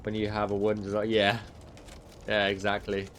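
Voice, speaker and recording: Low-voiced guy livestreaming, chats loosely with chat, lots of ums, voice going a bit, faint hiss behind. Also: moderate pace. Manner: relaxed, rambling, answers chat, frequent fillers and restarts. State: losing his voice. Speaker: game mod developer streaming. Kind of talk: casual livestream chat while playing.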